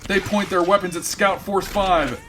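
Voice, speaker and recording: A man's voice: sits high, fairly even intonation, normal speed, noisy background. A man narrating a sci-fi radio comedy in a wry, dry scripted delivery.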